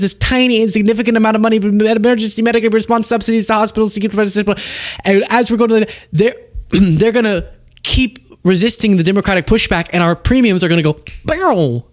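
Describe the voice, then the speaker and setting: A man hosting a political show, talking off the cuff in an animated, sarcastic and self-mocking way. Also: high-pitched, a little fast. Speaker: political commentary show host. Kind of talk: casual off-the-cuff political commentary.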